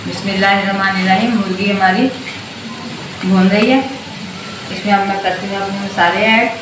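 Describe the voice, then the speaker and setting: A middle-aged woman walks viewers through a home recipe, one step at a time, in a calm, homely way. She sounds far from the mic, in a very echoey kitchen, with loud sizzling from the pan behind her. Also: mid-range voice, normal speed. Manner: calm, homely, talks through each step while cooking. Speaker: cooking channel host. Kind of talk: step-by-step cooking demonstration. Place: home kitchen, cooking at the stove. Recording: echoey, distant, noisy home recording. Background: frying and sizzling from the pan.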